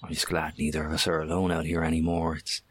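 An actor in an audio horror drama playing a steady, weary, earnest survivor with a measured, sincere manner. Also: male voice, very deep voice, slight background noise.

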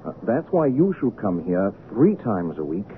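An actor playing a proud, obsessive, lovesick painter with an imperious, theatrical manner in an old radio drama. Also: male voice, fairly low pitch, big pitch swings, moderate pace. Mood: commanding. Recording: noisy, tinny old radio broadcast recording.

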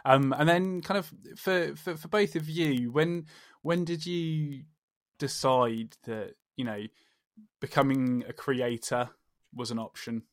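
Soft-spoken male podcast host, dry sound. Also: mid-range voice, takes it slowly, no echo. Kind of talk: interviewing guests.

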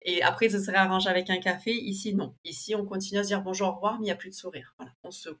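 Woman, interview guest, casual talk, hesitant, many fillers, lively storytelling. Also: mid-range voice, very fast. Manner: lively when telling an anecdote.

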